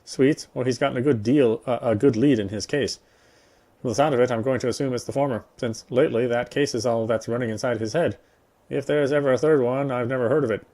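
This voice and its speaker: A man's voice: medium pitch, steady tone, fast talker, dark tone. A man reading visual novel narration aloud, sometimes stumbling and repeating phrases. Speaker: playthrough reader.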